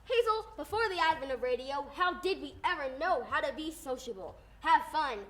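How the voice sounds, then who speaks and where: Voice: high-pitched, expressive, fairly quick, fairly bright tone. A young teenager playing a theatrical, folksy co-host in a scripted old-time radio show. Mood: folksy, announcing. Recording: faint background noise.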